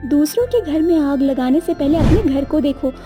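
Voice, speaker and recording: A woman's voice: sits high, normal speed, dark tone, soft background music, close to an everyday voice. A woman voicing an earnest, devoted young wife in a moral-story cartoon, with emotive delivery. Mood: proud rebuking.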